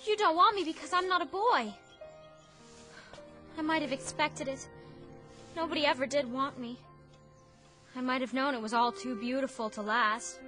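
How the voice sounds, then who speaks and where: A high, bright-voiced orphan girl, acted by a woman who talks in breathless, theatrical gushes. She sounds romantic and dramatic in this audio drama, with faint music playing behind her. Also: moderate pace, long pauses. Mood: distraught.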